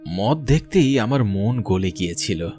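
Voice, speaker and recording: Male storyteller, lower register, first-person horror tale for a cartoon, prominent music underneath. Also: animated, a little slow, mellow. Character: haunted government officer recounting his mine visit.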